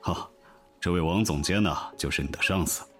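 Bossy male general manager, low-pitched, officious office talk, faint music behind. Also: normal speed, fairly bright tone. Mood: officious, conciliatory. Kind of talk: modern audio drama acting.

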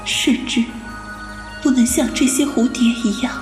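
A young lotus spirit maiden voiced by a woman, gentle and wistful. Her voice sits high, in audio drama style, with music playing clearly behind her. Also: steady tone, measured pace, close to an everyday voice. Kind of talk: Chinese audio drama acting.

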